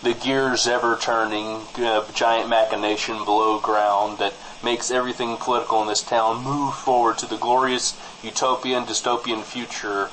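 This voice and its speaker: A man with a mid-pitched voice co-hosts a comedy show, delivering mock local news. He is deadpan and rambling, recorded on a studio mic with faint noise behind him. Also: normal speed, hardly any pauses, poor audio. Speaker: comedy podcast co-host. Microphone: studio microphone.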